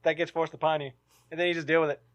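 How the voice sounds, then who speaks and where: Guy cohosting a podcast, kinda high voice, swears a lot, goes low and heavy sometimes. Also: rapid-fire. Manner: animated, swears freely, drops to low heavy tones. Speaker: podcast co-host. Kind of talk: casual chat.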